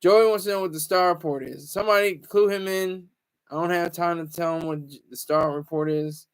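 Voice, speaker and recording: A man's voice: on the high side, fairly quick, clear, resonant tone. A man hosting a casual livestream, rambling, teasing viewers and stumbling over restarts. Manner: stammers and restarts often. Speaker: livestream host.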